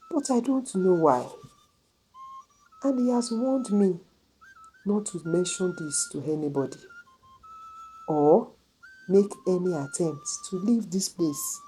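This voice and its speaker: A woman voicing a frightened, sorrowful elderly mother in a folktale, plaintive and halting. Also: on the low side, mellow, poor audio.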